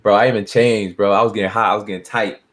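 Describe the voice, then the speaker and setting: A man's voice: fairly low pitch, steady tone, fast, fairly bright tone. A man chatting casually and slangily as a podcast host setting up a livestream. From born in Barbados, moved to the United States. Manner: slangy, informal.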